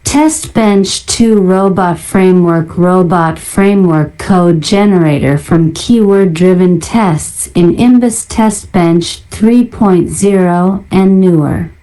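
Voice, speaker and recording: A woman narrator doing a deliberate ASMR-style read-out of listed items in a flat manner. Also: lowish voice, rough recording. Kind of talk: ASMR reading of a list of software libraries.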